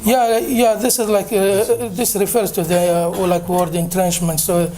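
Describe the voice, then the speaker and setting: A man presenting at a conference, answering audience questions hesitantly with many filler pauses. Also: sits high, level tone, dark tone. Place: conference room.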